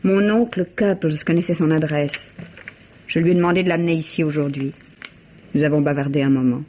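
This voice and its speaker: A woman's voice: low voice, steady tone, brisk, warm tone, close to an everyday voice. An actress playing a gracious, composed lady hostess in a vintage French radio mystery, polite and well-spoken. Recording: dull vintage radio recording, faint hiss.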